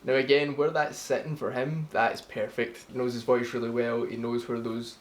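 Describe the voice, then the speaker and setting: A man's voice: mid-range voice, little pitch movement, moderate pace, slight background noise. A man from the UK casually breaking down his vocal cover, rambling and swearing freely, with self-deprecating asides. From United Kingdom. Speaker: singer explaining his cover. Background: song playing underneath.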